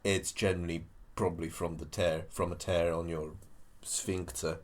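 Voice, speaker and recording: A man's voice: low voice, little pitch movement, normal speed. A man co-hosting a podcast in casual chat, explaining things at length, hesitant with frequent restarts and dry jokes. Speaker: podcast co-host.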